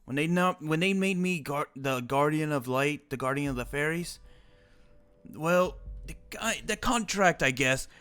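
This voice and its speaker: A man's voice: fairly high pitch, animated, unhurried, a bit bright, clear, resonant tone, soft background music. A young man roleplaying an old immortal wizard, rambling and restarting his sentences. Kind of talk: in-character roleplay chat.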